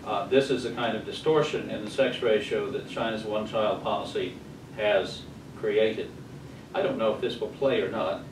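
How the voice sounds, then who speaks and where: An American man in his sixties presenting a slide lecture at a conference, speaking emphatically with deliberate pauses. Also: on the low side, fairly even intonation. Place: hotel conference room, indoors. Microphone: far camera. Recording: distant camera mic, echoey, noisy room.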